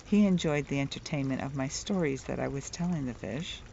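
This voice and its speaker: A middle-aged woman with a soft voice, telling a playful, chatty vlog story outdoors and laughing at her own jokes. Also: rumbling low, brisk, gravelly, slight background noise. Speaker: vlogger. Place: outdoors, near the water. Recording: dull lo-fi outdoor recording.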